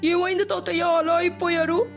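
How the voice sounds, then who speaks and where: A woman's voice: extremely high pitch, level tone, moderate pace, soft background music, pitched above the performer's own voice. A woman voicing a small, innocent village boy, caring and anxious, in a moral storybook tale. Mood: curious.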